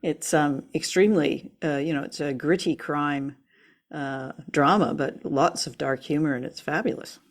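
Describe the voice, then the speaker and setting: Low-voiced woman, radio host. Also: fairly even intonation, normal speed. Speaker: radio show and podcast host. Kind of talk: casual chat about old radio drama.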